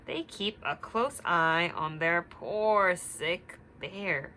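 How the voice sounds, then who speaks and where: Female teacher, children's read-aloud: bright voice, animated pitch, unhurried, explains simply, slight background noise.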